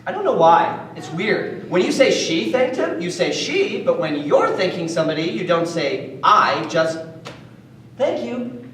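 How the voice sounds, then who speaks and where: A man giving an animated solo reading of his play as a child narrator. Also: high voice, measured pace, a little hiss or hum. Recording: distant echoey room.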